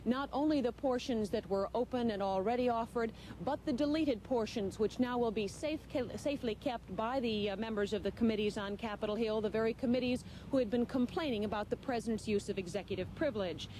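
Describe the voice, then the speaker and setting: A woman's voice: on the high side, brisk, few pauses, dark tone. A woman reporting live as a television news correspondent, over a slightly noisy feed.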